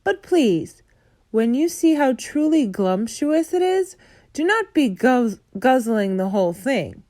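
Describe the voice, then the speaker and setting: A kindly big giant , voiced by a woman storyteller, coaxes along in innocent, jumbled grammar full of invented words. The voice rises high and lively with a warm, mellow tone. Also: slow, dry recording, clean studio sound, full character voice. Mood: coaxing, playful. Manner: playful, innocent, mangled grammar and made-up words. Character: kindly male giant, gentle and clever.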